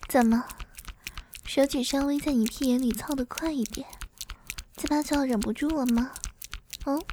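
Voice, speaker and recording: A woman voicing a dominant, teasing middle-aged mother-in-law in an intimate adult audio roleplay, often slipping into breathy whispers and giving slow commands. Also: high-pitched, mellow, a little hiss or hum, close to an everyday voice. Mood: teasing, commanding.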